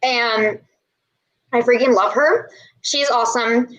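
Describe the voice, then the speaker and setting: A woman's voice: on the high side, steady tone, slow pace, fairly bright tone, highs cut off. A young woman chatting casually and enthusiastically on a video call, rambling a little as she shares her goals. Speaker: co-host, maker. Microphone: webcam on a video call.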